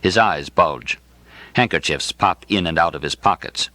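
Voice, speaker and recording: A man reading a literary novel in the first person as an audiobook narrator, with a dry, precise and expressive delivery. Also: deep voice, unhurried, no echo.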